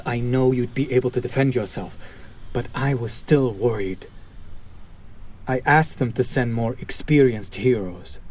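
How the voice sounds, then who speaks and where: A man playing a bitter, protective fallen hero turned villain in a dramatic audio roleplay, speaking in a deliberate, sardonic, theatrical way. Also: medium pitch, dark tone, lightly acted. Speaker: voice actor. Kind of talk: dramatic audio roleplay monologue addressed to listener.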